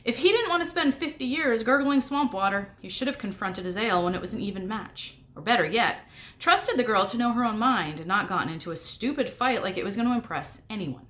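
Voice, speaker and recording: Expressive woman reading a snarky story aloud. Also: on the high side, fairly quick. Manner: snarky first-person storytelling. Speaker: narrator reading a book aloud. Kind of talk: expressive middle grade audiobook narration.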